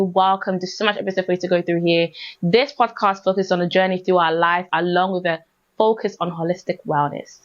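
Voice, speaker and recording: A young British woman speaks with a mid-pitched voice as a podcast host. She tells personal stories casually and conversationally, repeating phrases for emphasis. The audio is slightly dull and lo-fi. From born in Ghana, raised in the UK from age six.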